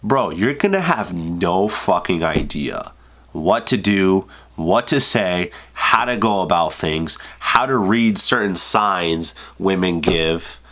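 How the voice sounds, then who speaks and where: A man's voice: low-pitched, animated, slow, a bit bright. A man in his twenties, congested from a cold, giving casual dating advice in a loose, profane, filler-heavy way. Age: 20s. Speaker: vlogger. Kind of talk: casual advice vlog to camera.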